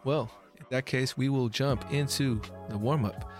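A man's voice: medium pitch, moderate pace, soft background music. A man in his thirties hosting a podcast interview, easygoing and affirming as he asks open questions. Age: 30s. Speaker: podcast host. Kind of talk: casual interview chat.

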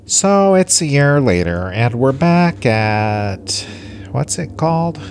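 Man acting as dismissive alien overlord, sci-fi audio drama, creaky, swooping drawl, clear background noise. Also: mid-range voice, sing-song, slow pace. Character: brusque, dismissive alien commander of an empire. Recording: noisy ambience behind the voice.

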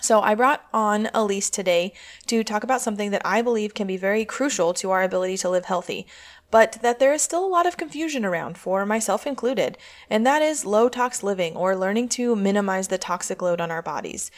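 A woman's voice: medium pitch, lively intonation, a little fast. A woman hosting a podcast as a coach, speaking in an earnest, encouraging way. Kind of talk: podcast intro and interview.

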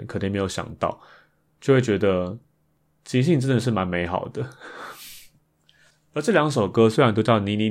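A man's voice: on the low side, darkish tone. A man with a Taiwanese Mandarin accent, hosting a podcast in a reflective, casual monologue. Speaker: podcast host. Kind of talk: reflective casual monologue about improvised songs.

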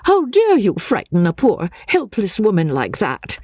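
A woman's voice: medium pitch, huge pitch swings, unhurried, a little mellow, slightly rough, dry recording, voice pushed up, full character voice. A woman voicing a fearless, protective older countrywoman, forthright and motherly, in a dramatic audiobook reading. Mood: indignant, protective.

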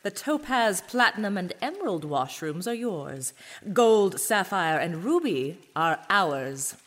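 A woman's voice: fairly low pitch, very animated, slow, full character voice. A woman playing a combative, taunting board director in an audio drama. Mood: taunting, indignant.

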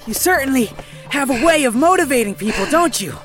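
A man's voice: extremely high pitch, expressive, a little fast, music in the background. A man playing a sarcastic, exasperated young adventurer in a fantasy audio drama.

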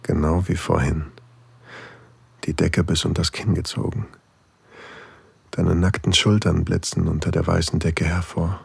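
A man's voice: low-pitched, little pitch movement, unhurried, gravelly, pauses often, a little mellow, slightly rough, no room sound, heavily acted. A man acting a flirtatious single father in an intimate erotic audio drama, teasing and a little hesitant, narrating his own thoughts. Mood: aroused, musing.

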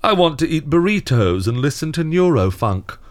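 An actor playing a jaded, fed-up Santa Claus in an audio comedy drama, with a dry, grumbling, world-weary delivery. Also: male voice, on the high side, very animated, moderate pace. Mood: weary, resolute.

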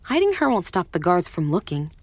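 A woman's voice: lowish voice, huge pitch swings, a little fast, heavily acted. An actress playing a wary, blunt, incredulous woman in an audio drama. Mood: alarmed, skeptical. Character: wary, blunt young woman, tired and angry.

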